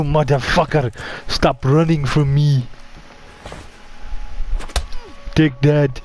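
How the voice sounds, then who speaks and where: A man's voice: fairly high pitch, darkish tone. A man voicing a bumbling, foul-mouthed killer devoted to his mother, talking in stilted broken English while commenting on his gameplay. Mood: angry threats. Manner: broken English, dropped articles, stilted phrasing. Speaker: gamer playing a horror game in character.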